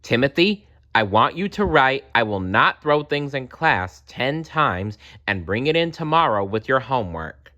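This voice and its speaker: A man's voice: mid-range voice, sing-song, measured pace, few pauses, pushed and bright, highs cut off, pitched above the performer's own voice. A man voicing a stern, exasperated female schoolteacher who scolds earnestly in a children's storybook.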